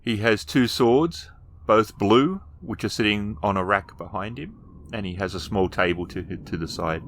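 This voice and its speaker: A man narrating a tabletop roleplaying game as storyteller, describing scenes in improvised fragments and pausing to find words. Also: fairly low pitch, a little hiss or hum.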